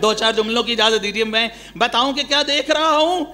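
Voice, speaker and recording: A man's voice: extremely high pitch, sharp tone. A man giving an impassioned mourning sermon, often turning to his listeners with rhetorical questions. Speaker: religious mourning orator.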